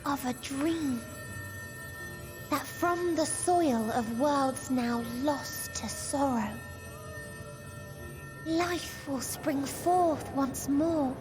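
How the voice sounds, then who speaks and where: High, slow, gentle otherworldly girl. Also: over music, rough recording. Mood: gentle hope. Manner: soft, breathy, solemn, poetic delivery. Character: gentle, sorrowful otherworldly girl, repentant and hopeful. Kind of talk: scripted video game cutscene acting.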